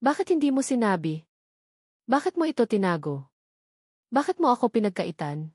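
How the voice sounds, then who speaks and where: A woman's voice: a little high, big pitch swings, moderate pace, a little mellow, breathy edge, no room sound, studio quality. A woman voicing a wounded, intense male police captain in a romantic audio drama, grave and accusing. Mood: hurt, angry.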